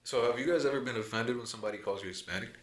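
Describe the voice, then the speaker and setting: A man's voice: mid-range voice, rapid-fire, over a noisy crowd. A man hosting a casual podcast chat, explaining things to his friends and asking them questions. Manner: explaining, asks the group questions.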